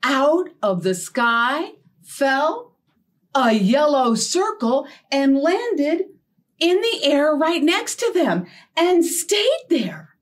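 Animated, high-voiced American storytime woman. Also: very slow. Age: middle-aged. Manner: animated, encouraging, addresses young children with questions. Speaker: children's librarian leading storytime. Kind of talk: children's storytime, simple storytelling and teaching. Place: indoor storytime set with backdrop and felt board.

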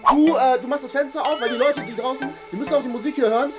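A young man playing a bossy, excitable party-loving schoolboy in a comedy sketch, drawing out his calls. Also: very high-pitched, rapid-fire, loud music behind the voice. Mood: hyped, bossy. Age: young adult. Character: hyped-up party-boy pupil, loud and bossy. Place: indoor room, music behind.

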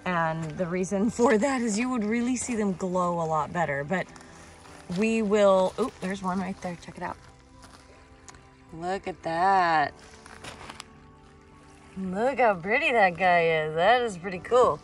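A woman's voice: mid-range voice, measured pace, pauses often, faint music behind. A woman in her thirties with an American accent, talking in an easygoing, colloquial way in a casual outdoor vlog. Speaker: rock-hunting vlogger. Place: outdoors on a pebbly beach.

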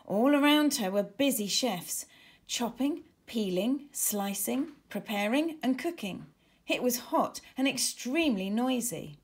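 Lively, unhurried woman reading a storybook. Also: on the high side, slightly rough. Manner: animated, deliberate. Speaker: author reading own storybook. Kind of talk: expressive children's storybook narration.

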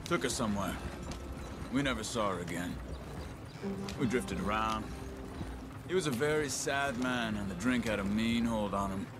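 An actor playing a plain-spoken, thoughtful outlaw drifter with an American accent in a western game. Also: male voice, fairly low pitch, lightly acted. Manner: measured, matter-of-fact. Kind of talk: cinematic western game acting. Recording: music and horse hooves behind.

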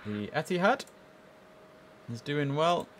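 A man's voice: fairly high pitch, big pitch swings, takes it slowly. A young man in a headset streaming an air traffic control game, chatting to his viewers and giving clipped radio calls. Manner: chatty, addresses chat, mixes in clipped radio phrasing. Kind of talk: casual gameplay commentary with radio calls. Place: home room at a desk.

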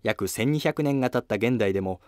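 A man's voice: medium pitch, brisk, no echo. Male history narrator, dry sound.

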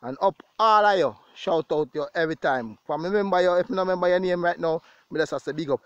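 A man's voice: sits high, quick pace, a bit bright, clean tone, no room sound. Jamaican man, dull lo-fi outdoor recording. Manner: conversational, repeats phrases for emphasis. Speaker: goat farmer and vlogger. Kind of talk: casual vlog talk to viewers. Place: outdoors in an open grassy pasture.

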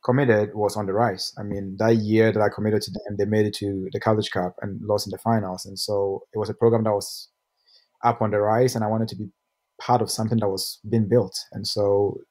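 Relaxed man from Ghana on a video call. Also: on the low side, fairly quick. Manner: relaxed storytelling with restarts. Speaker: guest, pro soccer player. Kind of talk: casual interview answers.

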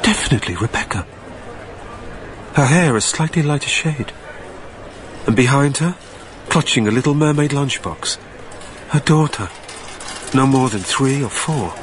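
A man's voice: mid-range voice, expressive, measured pace, pauses often, noisy background, close to an everyday voice. A man playing a wistful, haunted dead man, narrating a radio drama in a literary, brooding way. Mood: yearning. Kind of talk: dramatic first-person scripted narration.

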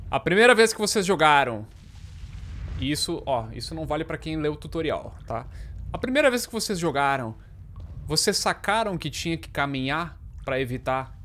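A man's voice: a little high, slight background noise. A man, giving casual, slangy, sweary live gameplay commentary as a streamer. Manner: slangy, sweary, excitable banter. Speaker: game streamer. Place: small indoor room.

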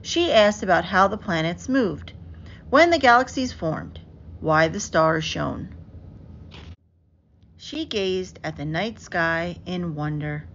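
A woman reads a children's picture book aloud in an expressive, carefully enunciated storytelling manner. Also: on the low side, slow. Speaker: storybook reader. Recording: dull lo-fi audio with clear background noise.

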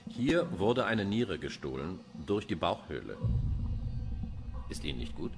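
An actor playing a cynical, erudite middle-aged police pathologist in a German radio crime drama, wordy and dryly pedantic. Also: male voice, sits low, sing-song, a little slow, over music, full character voice. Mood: darkly amused. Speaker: forensic pathologist character.

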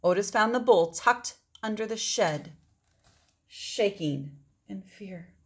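A middle-aged woman reads a children's storybook aloud, with dramatic phrasing and drawn-out words for emphasis. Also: medium pitch, lively intonation. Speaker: storybook reader. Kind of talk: expressive read-aloud of a children's picture book.

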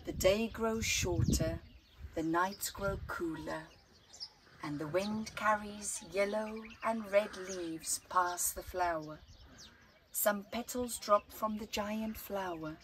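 Middle-aged female storyteller, unhurried and expressive children's read-aloud, dramatic pauses, outdoors with faint birdsong. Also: medium pitch, a little hiss or hum. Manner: deliberate, dramatic pauses between short phrases. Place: outdoors in an open gazebo at a flower farm. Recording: outdoor recording with birdsong behind. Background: birds chirping.

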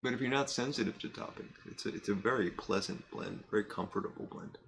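A middle-aged man chatting casually to camera in a cluttered workshop, rambling with fillers and pausing to puff on a pipe. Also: fairly low pitch, vocal fry. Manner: relaxed and rambling, many fillers and restarts; pauses to puff on a pipe while talking. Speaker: pipe-smoking vlogger. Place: cluttered workshop room, indoors.

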